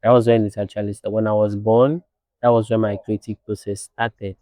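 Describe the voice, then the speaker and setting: A young man from Ghana, born in Nigeria, a fashion designer speaking as a guest in a casual interview, soft-spoken and reflective. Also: on the low side, level tone, a little fast, dry recording, studio quality.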